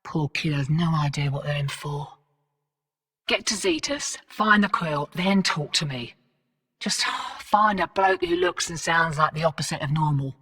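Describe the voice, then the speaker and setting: An actress playing a brash, wry, streetwise space-colony fixer in a video game, with a laconic, cocky, slangy drawl. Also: female voice, low-pitched, sing-song, unhurried, a bit bright, slightly rough, long reverb tail, recorded from a distance, heavily acted. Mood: wry, knowing. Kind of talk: scripted video game character dialogue.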